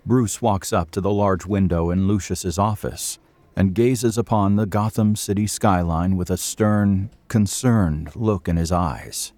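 A man's voice: low voice, a little slow, a little mellow, no echo. A man narrating an audio drama from a script as its storyteller.

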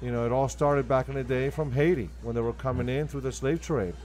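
A man's voice: medium pitch, a little fast, a little mellow, faint music behind. Argentine-accented man in conversational interview. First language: Spanish. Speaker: guest, restaurant owner.

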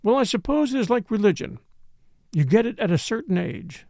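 A man voicing a languid, blunt young society woman who speaks in a slow drawl, in an audiobook. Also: sits high, very animated, darkish tone, dry recording, studio quality, pitched above the performer's own voice. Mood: wry, teasing.

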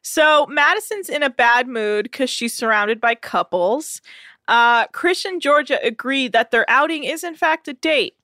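Casting: woman, higher voice, bright and forceful, sarcastic casual podcast recap, dry close sound. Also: measured pace, no echo. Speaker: podcast co-host recapping a reality show. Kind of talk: casual chat.

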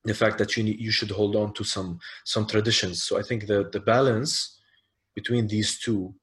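A male host in a casual talk, speaking in a measured, diplomatic way and reading out listener questions. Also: fairly low pitch, steady tone. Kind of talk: casual conversational interview.

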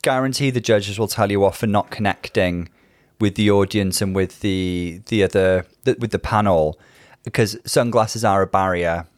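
A man's voice: lowish voice, normal speed, no room sound. A man co-hosting a casual review podcast, chatty and opinionated, with plenty of fillers. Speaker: podcast co-host.

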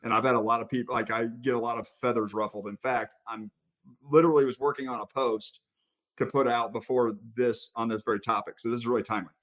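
A man's voice: medium pitch, steady tone, fast, fairly bright tone. A man hosting a podcast, laying out his views in a thoughtful, conversational way. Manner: thoughtful, lays out opinions at length. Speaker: podcast host. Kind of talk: conversational interview.

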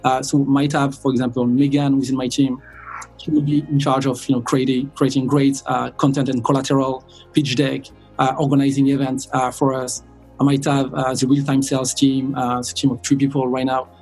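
Husky Frenchman giving interview answers. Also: medium pitch, little pitch movement, a little fast, a little mellow, faint music behind. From south of France. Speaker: sales director, podcast guest.

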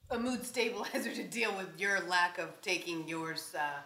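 A woman, the host's wife, calmly chiming in with brief remarks from away from the microphone. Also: on the low side, moderate pace, echoey room, a little hiss or hum.

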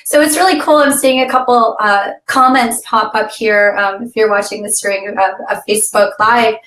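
A woman with a scratchy voice co-hosts a business podcast in an upbeat manner, laughing easily between points. Her voice sits fairly high. It carries a noticeable roomy echo. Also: steady tone, normal speed, a bit bright. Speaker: podcast co-host.

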